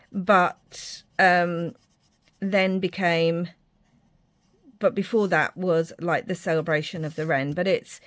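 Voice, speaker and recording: A woman from Ireland, talking casually to her viewers while she works on a craft project, rambling a little and poking fun at her own drawing skills. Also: mid-range voice. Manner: chatty, self-deprecating, rambling with fillers. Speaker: crafting vlogger. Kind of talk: casual craft tutorial chat.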